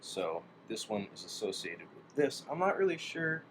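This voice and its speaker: Male hobbyist, deep voice, unscripted demo at a workbench, musing and unsure, with noise behind him. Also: very animated, normal speed, fairly bright tone. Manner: tentative, musing aloud in fragments. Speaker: hobbyist demonstrating a radio-to-amp conversion. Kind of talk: casual thinking-aloud workbench narration. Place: indoor workbench. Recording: clearly noisy room recording.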